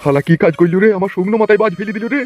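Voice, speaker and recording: A man playing a distraught old village husband in a comic cartoon, complaining and pleading in drawn-out wails. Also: high voice, a little mellow, natural voice. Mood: aggrieved. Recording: dull lo-fi sound with music behind.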